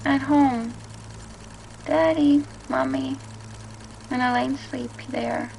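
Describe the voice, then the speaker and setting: A woman voicing a troubled, withdrawn little girl in a video game, speaking in terse, halting phrases. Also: high voice, steady tone, creaky, darkish tone, slight background noise, exaggerated voice, rough recording. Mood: eerie, withdrawn.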